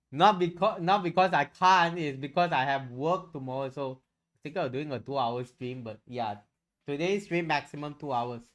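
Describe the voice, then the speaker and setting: A man's voice: fairly high pitch, unhurried, a bit bright. A man chatting casually on a livestream, rambling and candid, swearing freely as he goes. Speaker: streamer and VTuber.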